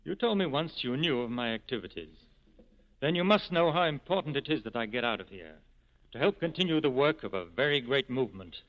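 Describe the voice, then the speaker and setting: Steadfast, dignified male freedom fighter played by a man, medium pitch, expressive, vintage radio drama. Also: brisk, lightly acted. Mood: earnest. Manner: grave, dignified. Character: earnest, steadfast male resistance leader. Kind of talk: 1940s radio acting. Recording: dull 1940s radio broadcast recording.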